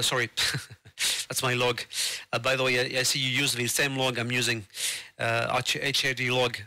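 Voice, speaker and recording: Bright, clear-voiced French man, halting speech. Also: medium pitch, no echo. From France. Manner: halting, hesitant phrasing. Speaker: ham radio operator. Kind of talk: casual radio contact chat.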